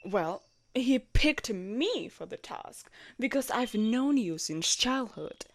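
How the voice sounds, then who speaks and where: A woman playing a jealous, protective royal knight in an intimate audio roleplay, expressive and blunt in manner. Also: on the high side, slow, no room sound, heavily acted. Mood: evasive, careful. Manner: expressive, blunt, sweary, teasing. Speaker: voice actor in a romantic audio roleplay.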